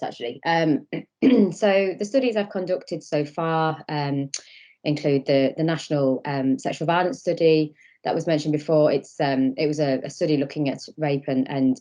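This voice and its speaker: A low-voiced woman in her thirties with a croaky voice from a cold presents over a video call. Her tone is dark and mellow. She speaks hesitantly, filling gaps with ums and restarting sentences. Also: normal speed. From England. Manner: hesitant, many fillers and restarts. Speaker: academic conference speaker. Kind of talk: online conference presentation.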